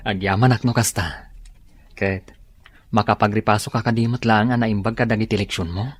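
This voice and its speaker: A man's voice: fairly low pitch, steady tone, moderate pace, no room sound, close to an everyday voice. An actor with a Filipino accent playing a considerate young husband, concerned for his wife, in a radio drama. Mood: concerned, caring. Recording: dry studio, faint music behind.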